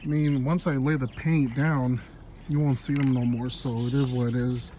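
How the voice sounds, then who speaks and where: A man's voice: mid-range voice, flat delivery, normal speed, dark tone, slight background noise. A congested man chatting casually as he works on his car outdoors, filming on a phone, laughing often. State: congested, stuffy nose. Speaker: car hobbyist vlogger. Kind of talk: casual how-to vlog narration. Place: outdoors in a yard. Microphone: phone held while working.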